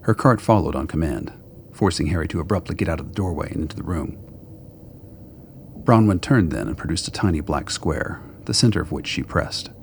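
A man with a gravelly voice reading scripted dramatic story narration. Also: a bass voice, animated, a little fast, a little hiss or hum.

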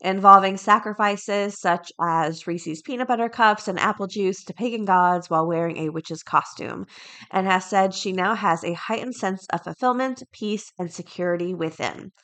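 A woman's voice: medium pitch, normal speed, fairly bright tone. A woman hosting a podcast solo, talking casually and chattily, with informal asides and items read aloud. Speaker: podcast host.

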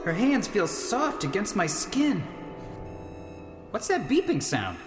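A man playing an earnest, excitable, sweetly fussy band leader in a comedic radio play, theatrical and exclamatory. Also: sits high, slow pace, over music, exaggerated voice. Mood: drowsy, dreamy. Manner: theatrical, exclamatory, talks to himself.

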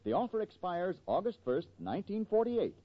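A man announcing on 1940s radio with a polished sales pitch. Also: sing-song, moderate pace. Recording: muffled vintage 1940s radio broadcast.

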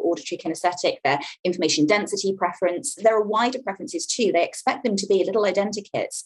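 A woman's voice: fairly low pitch, breathy edge, poor audio. A woman communication coach speaking in an articulate, emphatic way about how people take in information. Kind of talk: interview talk, explaining.

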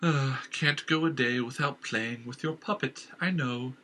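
A man voicing a scornful, disembodied voice inside a king's head, drawing his words out slowly and needling the king. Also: medium pitch, very animated, a bit bright, slightly rough, voice pushed up, full character voice. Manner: slow, drawn-out, needling delivery.

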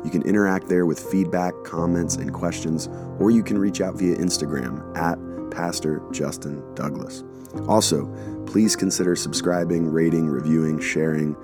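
Deep-voiced man hosting over guitar music. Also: fairly even intonation, moderate pace. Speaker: podcast host and pastor. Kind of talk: scripted podcast intro.

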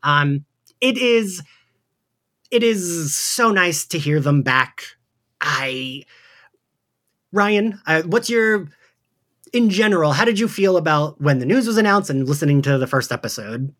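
A man's voice: on the high side, animated, unhurried, long pauses, a bit bright. Guy hosting a podcast, chatty and casual, talking with cohosts. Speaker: podcast host.

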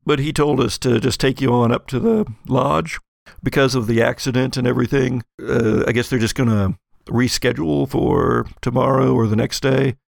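An American man acts out a casual small-town American deputy in a tabletop radio-play adventure. He is folksy and offhand, passing word along in halting bits. The voice is creaky and recorded close and dry. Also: mid-range voice, steady tone, no room sound. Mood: casual, informing.

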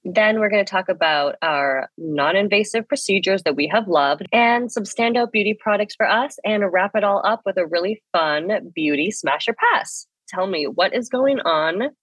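A woman's voice: medium pitch, fairly bright tone, breathy edge, no room sound, poor audio. A woman co-hosting a chatty podcast, congested and nasal from a cold, talking in an upbeat way over a video call. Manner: upbeat, chatty, quick asides. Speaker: podcast co-host. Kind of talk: casual chat. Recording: dry video call audio.

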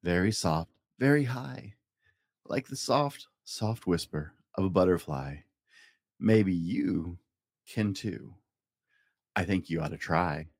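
A man with a fairly low voice reads a children's storybook aloud. His delivery is playful and rhyming, with very lively, swinging intonation. The sound is dry and close. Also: slow, pauses often, no echo. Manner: playful, acts out animal and object sound effects. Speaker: children's storybook reader and host. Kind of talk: expressive read-aloud of a rhyming picture book.

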